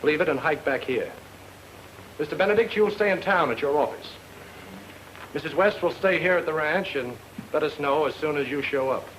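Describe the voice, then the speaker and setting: An actor playing a tough, take-charge private detective with clipped, slangy, hard-boiled delivery in 1940s film noir style. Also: male voice, a little high, lively intonation, normal speed, pauses often, fairly bright tone, a little hiss or hum, poor audio. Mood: brisk, commanding. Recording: old film soundtrack with background music.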